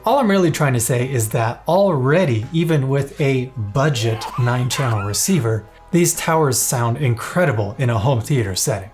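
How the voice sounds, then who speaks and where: Male reviewer, playful and enthusiastic scripted read, mid-pitched, faint music under. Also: darkish tone. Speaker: product reviewer.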